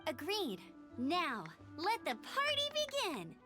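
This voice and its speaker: An eager teenage girl scout with a very high, lively voice. She is perky and playful, a game character speaking over music. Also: takes it slowly, heavily acted. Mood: excited. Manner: energetic, exclaiming, playful. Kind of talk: video game voice acting. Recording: music playing behind.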